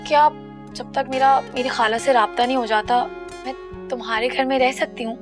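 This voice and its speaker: A woman's voice: high-pitched, fairly even intonation, moderate pace, music in the background, natural voice. A woman playing a dramatic, self-pitying young woman. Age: young adult. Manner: theatrical, self-pitying, chatty.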